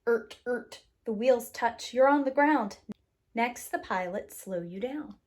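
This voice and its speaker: A woman's voice: on the high side, animated, takes it slowly, darkish tone. A woman reading a children's story aloud expressively, with a rhythmic delivery and acted-out sound words. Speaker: preschool teacher reading a picture book aloud.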